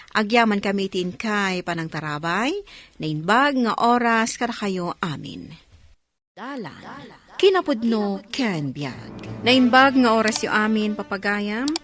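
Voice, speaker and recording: A woman announcing a radio drama in a measured, declarative manner. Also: mid-range voice, a bit bright, dry recording. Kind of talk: radio drama narration and acting.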